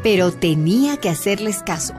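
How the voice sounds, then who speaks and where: A woman's voice: mid-range voice, lively intonation, normal speed. A woman narrating a radio drama. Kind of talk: scripted narration. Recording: clear music underneath.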